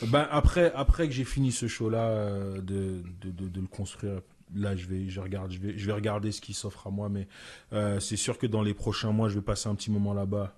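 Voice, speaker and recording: A man from France, a comedian guest, telling stories in a casual podcast chat with lots of quick restarts. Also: on the low side. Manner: animated storytelling, frequent stammered restarts.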